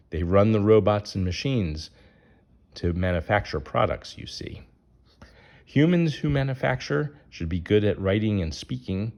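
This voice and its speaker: A man's voice: lowish voice, creaky, a little mellow, dull audio. A man reading a rhyming children's picture book aloud as its narrator.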